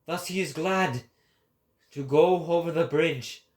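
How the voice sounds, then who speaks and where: An exalted male prophet, as a man acts him out in a dramatic philosophical reading. He declaims solemnly and very slowly, weighting each phrase with emphasis. His voice sits a little high.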